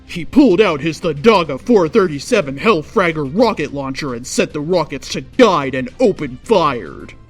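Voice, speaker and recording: A woman reading bad fan fiction aloud as a storyteller, with theatrical, drawn-out emphasis on key phrases, close to a microphone without a pop filter. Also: deep voice, animated, breathy edge. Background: faint music.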